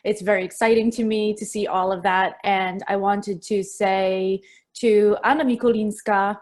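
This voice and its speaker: A woman in her thirties or forties leads an online session from a home computer mic. Her voice sits at a middling pitch, and she keeps things chatty and enthusiastic. She talks to her listeners directly. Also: steady tone, moderate pace. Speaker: burnout speaker presenting a webinar. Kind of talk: lively webinar talk to remote attendees. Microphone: computer mic on a video call.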